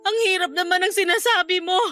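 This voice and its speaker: A very high, bright voice with a Filipino accent: an overprotective mother in a radio drama, emotional and quick to scold or plead. Faint music plays underneath. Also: fairly even intonation. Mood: anxious, tearful.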